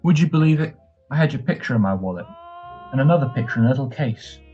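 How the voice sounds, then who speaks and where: A man's voice: mid-range voice, expressive, fast, close to an everyday voice. A man playing an affectionate, teasing young husband back from military service in a 1940s-style radio drama, wistful and a little hesitant. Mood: wistful, earnest. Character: tender, playful young returned serviceman husband. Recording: dull muffled audio, faint music.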